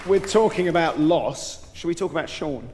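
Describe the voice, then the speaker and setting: A man's voice: a little high, animated, normal speed, a little mellow. Male interviewer in a roomy clip. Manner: searching, rephrasing questions. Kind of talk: interview questions. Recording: roomy reverb, faint crowd and noise.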